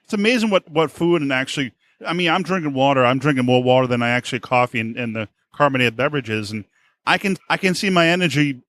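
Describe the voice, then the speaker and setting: A man with a mid-pitched voice hosts a casual interview in a dry, echo-free sound. He speaks haltingly, often searching for the right words. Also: fairly bright tone. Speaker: podcast host.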